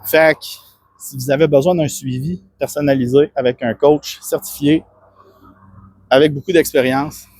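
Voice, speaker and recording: A man's voice: on the high side, fairly even intonation, normal speed, darkish tone, breathy edge, dry recording. A man with a Québécois French accent, a fitness coach talking earnestly and rambling in a casual self-promotional monologue. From Quebec. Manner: rambling, earnest, many restarts and fillers.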